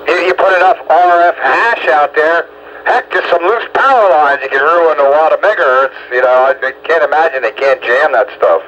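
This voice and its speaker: Man on a noisy two-way radio, higher-pitched. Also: normal speed, fairly bright tone, highs cut off. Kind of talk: casual radio chat.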